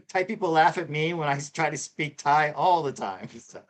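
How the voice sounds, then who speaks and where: A middle-aged man co-hosting a live tarot show in casual chat, halting and repeating himself with many fillers. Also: a little high. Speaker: co-host reading tarot cards.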